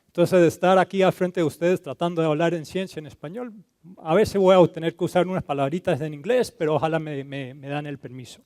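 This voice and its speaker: A man from Colombia giving a conference talk, halting and repeating words, slipping into terms now and then. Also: on the high side, steady tone, a little mellow, no room sound, clean studio sound. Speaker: conference speaker. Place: conference hall stage.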